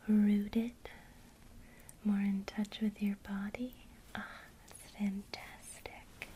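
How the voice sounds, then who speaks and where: A woman whispering an ASMR witchcraft lesson, gently encouraging a listener she addresses as her student. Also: mid-range voice, slight background noise, low-quality recording. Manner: speaks to the listener as a student, pausing for imagined replies.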